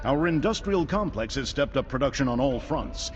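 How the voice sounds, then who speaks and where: An actor playing a pompous, slick propaganda news anchor in a video game, speaking in emphatic broadcast style. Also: male voice, mid-range voice, lively intonation, moderate pace, close to an everyday voice. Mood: bombastic. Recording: slightly dull broadcast, faint music.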